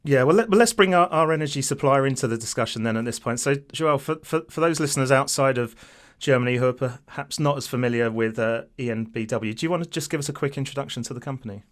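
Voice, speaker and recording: A man's voice: mid-range voice, fast talker. Male podcast host moderating an interview.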